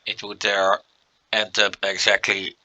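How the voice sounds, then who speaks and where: A man with a Dutch accent, a native Dutch speaker, giving relaxed gameplay commentary and thinking aloud with many fillers. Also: fairly low pitch, a little slow, dull audio. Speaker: let's play commentator.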